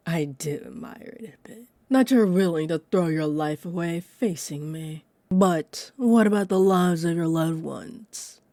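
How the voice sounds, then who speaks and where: Low, slow, possessive vampire woman, acted out. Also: warm tone, no room sound, exaggerated voice. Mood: mocking, confident, then menacing, jealous. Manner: haughty, unhurried, amused, drifting into breathy near-whispers. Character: possessive, condescending, centuries-old female vampire, dominant and teasing. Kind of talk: roleplay audio drama monologue, addressed to listener.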